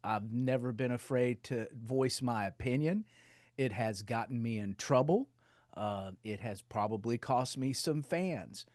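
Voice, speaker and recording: A middle-aged man from North Carolina with a Southern accent, a rock singer chatting loudly and laughing often as an interview guest on a video call. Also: medium pitch, unhurried, no room sound, studio quality. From North Carolina, USA. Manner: loud, animated, laughs often. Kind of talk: casual interview chat.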